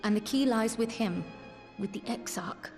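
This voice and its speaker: A woman's voice: a little high, darkish tone, natural voice. Formal, grave scholarly fantasy woman played by an actress. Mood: earnest, determined. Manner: formal, measured, archaic diction. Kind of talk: video game cutscene acting. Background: music.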